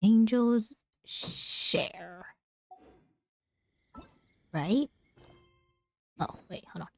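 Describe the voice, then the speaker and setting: A woman's voice: medium pitch, extremely slow, vocal fry, a little mellow, no echo, studio quality. A woman streamer giving casual gameplay commentary, thinking aloud in short asides. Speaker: game streamer.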